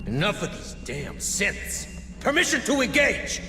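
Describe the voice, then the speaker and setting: A man's voice: sits high, sing-song, unhurried, exaggerated voice. A man acting a tense, impatient soldier in a video game, with terse, urgent military delivery. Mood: angry impatience. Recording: reverberant, very noisy vehicle-like ambience.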